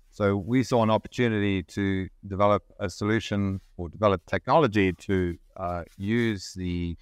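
A middle-aged man from Zimbabwe, a company CEO explaining his business in measured, steady answers as an interview guest. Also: low voice, clear, resonant tone, dry recording, clean studio sound.

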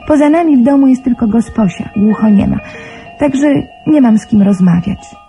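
An actress playing a polite, trusting young woman pharmacist in a radio drama, soft-spoken, courteous and earnest. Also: fairly high pitch, lively intonation, normal speed, soft background music. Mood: wistful, admiring.

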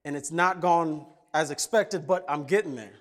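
Pastor, male, from Kenya; relaxed storytelling sermon, playful asides, slightly high voice. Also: moderate pace. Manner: conversational, jokey, animated. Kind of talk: casual sermon with stories.

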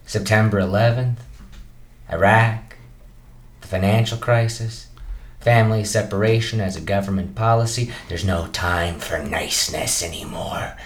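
An actor playing a burnt-out elderly male children's TV host in a comedy radio play, speaking softly and slowly. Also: lowish voice, steady tone, roomy sound. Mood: weary. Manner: soft, slow and gentle, turning bitter.

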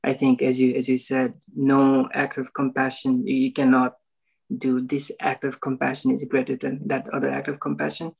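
A man from Nepal speaks in a casual group discussion. His flat, gravelly voice has a husky edge, and he works through ideas slowly, stopping to hunt for words. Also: mid-range voice, low-quality recording. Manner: thoughtful, searching for words, frequent ums and rights. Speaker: newcomer at a meditation group.

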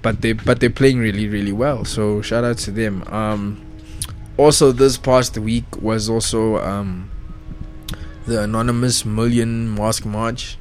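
Young man, casual football rant, slangy, excitable, repeats himself, faint music behind. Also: fairly low pitch, measured pace. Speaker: football vlogger.

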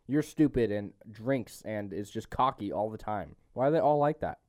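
A man's voice: on the low side, expressive, normal speed, darkish tone, no room sound, clean studio sound. A young man hosting a podcast, chatting casually about a movie in slangy, opinionated, teasing talk. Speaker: podcast host. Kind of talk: casual movie review chat.

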